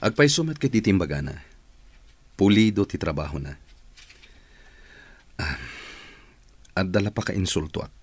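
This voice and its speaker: A man's voice: sits low, sing-song, moderate pace, pauses often, breathy edge, dry recording, heavily acted. A man with an Ilocano accent playing a curious, guarded office boss, curt and inquisitive, in a radio drama.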